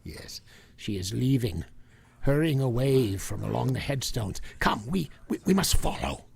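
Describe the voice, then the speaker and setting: An actor playing a grave, commanding older professor who hunts vampires in a vintage radio drama, speaking urgently and deliberately. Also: male voice, mid-range voice, lively intonation, creaky, background noise.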